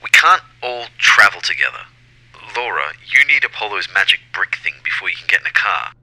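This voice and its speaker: A man's voice: deep voice, big pitch swings, brisk, pushed and bright, heavily acted, poor audio. A man playing a helpful, practical ghostly go-between in an audio drama, speaking casually. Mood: practical, reassuring. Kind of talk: audio fiction acting.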